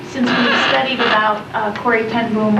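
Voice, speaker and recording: A woman teacher asking a class guest a question, speaking haltingly with fillers. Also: on the high side, fairly even intonation, gravelly. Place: school classroom. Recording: echoey, distant and very noisy.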